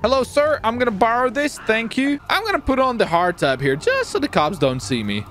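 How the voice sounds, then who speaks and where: A man's voice: very high voice, big pitch swings, a little fast, fairly bright tone, a little hiss or hum. A man chattering live through a roleplay game on voice chat, exclaiming and swearing as he reacts aloud to the action. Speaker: gamer, channel host.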